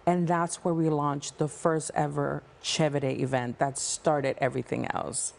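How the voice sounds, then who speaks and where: A woman's voice: sits low, gravelly. A woman in her thirties from El Salvador, a nonprofit founder talking passionately as a guest in a TV news studio on a lapel mic. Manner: passionate, fast-flowing, animated hand gestures. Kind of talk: TV studio interview.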